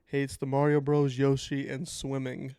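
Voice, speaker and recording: A man's voice: mid-range voice, monotone, warm tone, clear, resonant tone, no room sound. Nasal man, slightly sick, dry close sound. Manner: laid-back, chatty, reads aloud haltingly. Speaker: reaction video host. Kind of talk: casual reaction commentary.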